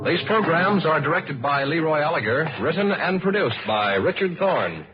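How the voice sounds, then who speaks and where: A man announcing credits in a formal, measured old-time radio style. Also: a little high, expressive. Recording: dull lo-fi old radio, loud music behind.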